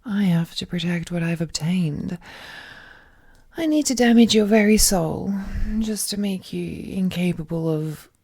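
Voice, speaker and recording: Woman performing an all-powerful lovesick female captor, audio drama villain, slow unhurried pace, breathy mellow voice, tenderly menacing. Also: fairly low pitch, expressive, exaggerated voice. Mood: ominous, regretful. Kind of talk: scripted audio roleplay, intimate dramatic monologue.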